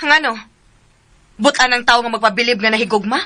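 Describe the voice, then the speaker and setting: A woman's voice: fairly high pitch, quick pace, pushed and bright. An actress playing a hurt but firm young woman spurning her lover in a radio drama, reproachful and indignant. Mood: stern, hurt.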